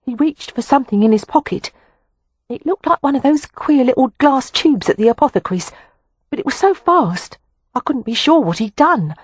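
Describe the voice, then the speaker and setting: A trembling young housemaid, put on by an audiobook narrator, deferential and faltering, her voice climbing high as she speaks. The delivery swoops with lively pitch over a slightly husky tone. It is recorded close and dry, with no room sound. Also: moderate pace, no room sound. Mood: nervous. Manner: faltering, hesitant. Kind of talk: audiobook character voice.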